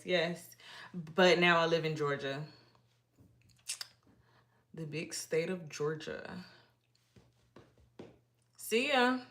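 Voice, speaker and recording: A woman's voice: lowish voice, a bit bright. A middle-aged woman from Massachusetts, hosting a casual livestream and chatting with viewers in a shy, rambling way with many restarts. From Massachusetts, United States. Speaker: livestream host. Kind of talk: casual live chat with viewers.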